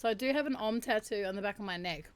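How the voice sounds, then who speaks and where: Deep-voiced woman hosting a livestream. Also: clear, resonant tone. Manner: chatty, laughs and reacts to chat; slows down to chant drawn-out syllables. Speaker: livestream host. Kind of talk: casual chat mixed with reading aloud. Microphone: streaming mic.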